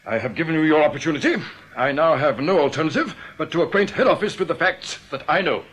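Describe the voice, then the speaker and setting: A man's voice: fairly high pitch, fast, fairly bright tone, slightly rough. A man playing an anxious, stubborn English banker in a radio drama, prim and formal in manner. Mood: indignant. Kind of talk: British radio drama acting.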